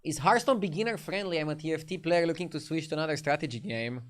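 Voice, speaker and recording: A man's voice: on the high side, fast talker. A young man with a light Romanian accent, casually chatting with his live-stream audience while playing a card game. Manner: laid-back, chatty, answers chat between plays.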